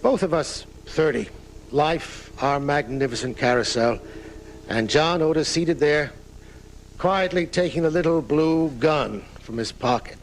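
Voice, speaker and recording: A theatrical aging writer, noisy radio recording. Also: male voice, fairly high pitch, measured pace. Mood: grandly reminiscing. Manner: storytelling, florid, dramatic. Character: aging famous male writer recounting his own murder, theatrical. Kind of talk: live radio drama acting.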